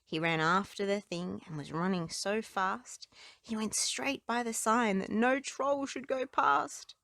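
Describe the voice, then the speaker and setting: A woman's voice: mid-range voice, fairly even intonation, measured pace, creaky, a bit bright, no room sound, clean studio sound. A woman reading children's storybooks and nursery rhymes aloud as a narrator. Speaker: children's book reader.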